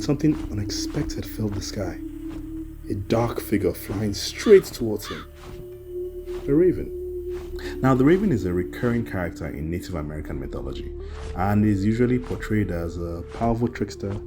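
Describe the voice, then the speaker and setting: A man narrating a scripted folktale as a storyteller, in a measured way with dramatic pauses. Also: on the low side. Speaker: storyteller host. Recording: music under the voice. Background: background music.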